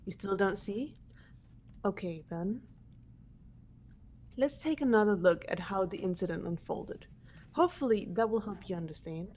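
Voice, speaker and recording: A woman playing a composed, analytical student detective, explaining in a measured way for a fan-voiced game. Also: medium pitch, a little mellow, slight background noise, close to an everyday voice. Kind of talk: fan voice acting of a video game script.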